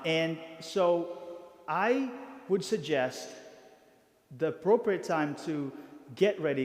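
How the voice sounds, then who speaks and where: A priest briefing parish volunteers, talking haltingly with many fillers and restarts. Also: male voice, on the high side. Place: church hall. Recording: reverberant church hall.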